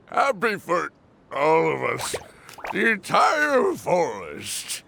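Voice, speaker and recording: An actor playing a drunk tavern regular who is loud, tearful and jubilant, slurring emotionally. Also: male voice, on the high side, wildly swooping pitch, very slow, creaky, noisy background, exaggerated voice.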